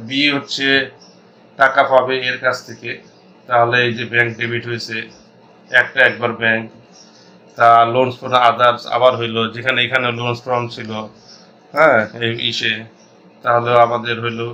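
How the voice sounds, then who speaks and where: A man with a Bangladeshi accent explaining as an accounting instructor in an informal tutorial, haltingly thinking aloud and mixing in English terms. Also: medium pitch, bright, forceful tone, rough recording.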